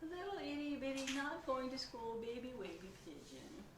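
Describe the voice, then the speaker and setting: A woman voicing an excitable, whiny male pigeon who begs and pleads melodramatically in a storybook read-aloud. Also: sits high, normal speed, voice pushed up. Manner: wheedling, begging, melodramatic. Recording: echoey room, distant mic, faint noise.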